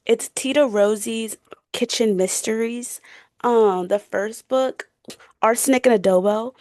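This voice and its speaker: A woman's voice: on the high side, steady tone, unhurried, dark tone, no echo. A young woman in a casual book chat as a podcast co-host, gushing, slangy and playful.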